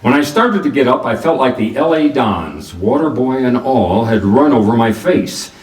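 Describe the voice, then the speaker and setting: A dry, sardonic private eye from a radio play, acted by a man. His pitch moves around in a lively way as he delivers colloquial wisecracks, heard from a distance in a roomy space. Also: moderate pace, natural voice. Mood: wry narrating. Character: wry, wisecracking male private detective. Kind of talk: hardboiled radio drama narration and dialogue.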